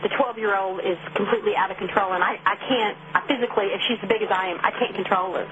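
A woman's voice: medium pitch, fairly even intonation, fast. A woman calling an emergency line, hesitant and full of fillers, turning indignant. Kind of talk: recorded emergency call. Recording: dull phone-call recording with noise.

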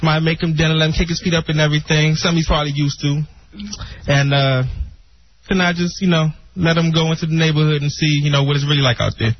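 Husky, joking male guest from the DC area, muffled radio feel. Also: a little high, fairly even intonation, quick pace. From Maryland, near Washington DC. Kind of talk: casual radio banter. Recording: dull lo-fi radio sound.

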